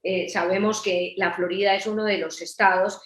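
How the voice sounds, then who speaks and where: A woman's voice: mid-range voice, steady tone, normal speed, echoey room. A woman from Colombia presenting real estate investment in a webinar, listing facts and figures in a promotional way.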